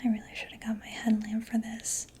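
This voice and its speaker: A woman doing a soft-spoken ASMR mechanic roleplay, breathy and mostly whispered, gently murmuring as if giving personal attention, close to the microphone. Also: on the high side, monotone, brisk. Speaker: ASMR performer. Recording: close-miked with clear background noise.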